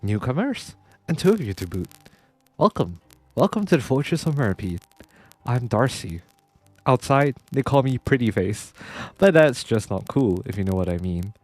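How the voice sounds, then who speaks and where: A man's voice: medium pitch, very animated, moderate pace, a little mellow, dry recording, soft background music. A man with a nasal voice reading the lines of a boastful, friendly young male prison inmate from a game. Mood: chummy boastful. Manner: boastful, chummy, showing off.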